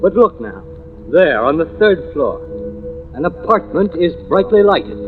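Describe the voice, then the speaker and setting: An actor playing a theatrical, deliberate narrator who sets suspenseful scenes in an old radio drama. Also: male voice, fairly high pitch, very animated, phone-quality sound. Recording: thin old radio recording, faint music.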